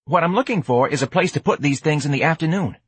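A man's voice: a little high, lively intonation, quick pace, husky, no echo, pitched above the performer's own voice. A man voicing a cocky, streetwise young male hustler who wheedles in casual slang for an audiobook. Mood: wheedling. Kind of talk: audiobook character acting.

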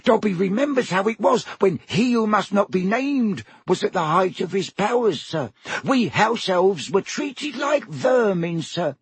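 A squeaky, servile male house elf, all nerves and pleading, in a storybook reading. The voice is high and husky, recorded close and dry. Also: very animated, measured pace, dry recording, exaggerated voice. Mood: fervent. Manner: servile, high-pitched pleading, many "sir"s. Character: timid anxious male house elf servant.

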